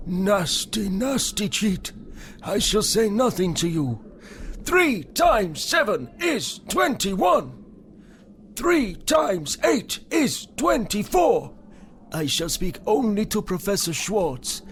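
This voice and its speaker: An actor playing an old, deranged asylum patient in a video game, childish and obstinate, with an obsessive sing-song delivery. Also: male voice, high voice, sing-song, slow, slight background noise, exaggerated voice. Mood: obsessive reciting, then manic shouting. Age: older. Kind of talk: theatrical video game voice acting.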